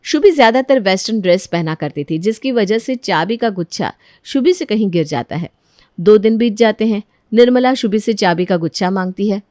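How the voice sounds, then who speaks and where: A woman's voice: medium pitch, animated, brisk, a little mellow, no echo, clean studio sound. A woman reading scripted narration as the storyteller of a moral tale.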